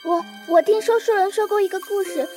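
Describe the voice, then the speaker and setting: A woman's voice: very high voice, steady tone, brisk, dark tone. A woman playing a timid young servant in a period audio drama, hesitant and stammering. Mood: timid, nervous. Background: faint music.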